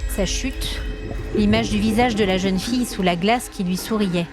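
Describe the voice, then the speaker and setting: A woman storyteller reading scripted dramatic narration in an expressive, suspenseful manner. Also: medium pitch, normal speed, music in the background.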